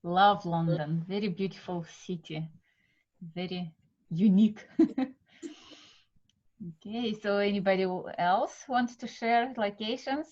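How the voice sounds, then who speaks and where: A woman from Siberia with a Russian accent, teaching an online art meditation class, halting and full of fillers. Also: medium pitch, steady tone, highs cut off. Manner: halting, many fillers, warm welcomes. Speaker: art method instructor. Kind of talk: live online class introduction. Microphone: video call.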